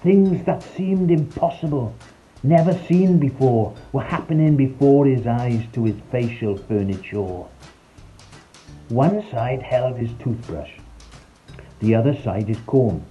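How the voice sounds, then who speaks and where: A man's voice: mid-range voice, a little slow, dark tone, highs cut off. A man reading a scripted, rhyming children's story in a playful, theatrical storytelling manner. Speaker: storyteller, narrator. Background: background music.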